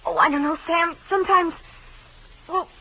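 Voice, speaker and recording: An actress playing a loyal, wary young secretary to a private detective in 1940s radio drama style. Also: female voice, extremely high pitch, fairly even intonation, normal speed. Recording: narrow-band, noisy 1940s radio recording.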